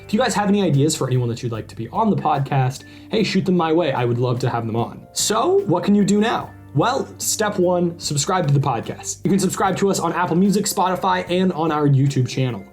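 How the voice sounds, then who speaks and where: A man's voice: on the high side, lively intonation, brisk, hardly any pauses, a little mellow, soft background music. A man speaking as a podcast host, introducing things to listeners in an informal, upbeat and enthusiastic way.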